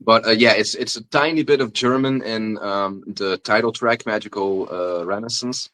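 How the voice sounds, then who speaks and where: A man's voice: fairly low pitch, a bit bright, dry recording. A Flemish man from Belgium whose first language is Dutch, a rapper guest speaking casually in an interview with many fillers and restarts. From Belgium (Flanders). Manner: halting, many fillers and restarts, laughs often.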